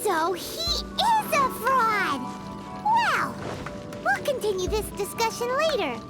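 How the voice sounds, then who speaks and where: Tiny squeaky fairy companion, extremely high-pitched. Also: female voice, huge pitch swings, slow pace, full character voice. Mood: curious, chatty. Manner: squeaky, cutesy, refers to self in third person. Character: tiny childlike female fairy companion, bubbly, naive, eager. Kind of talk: video game voice acting. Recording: loud music behind the voice. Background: game music.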